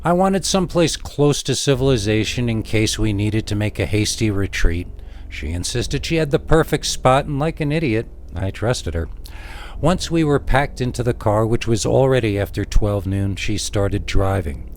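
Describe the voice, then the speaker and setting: A man reading a scary story in the first person as a dramatic narrator, with theatrical, emphatic delivery and deliberate pauses. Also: on the low side, animated, slight background noise.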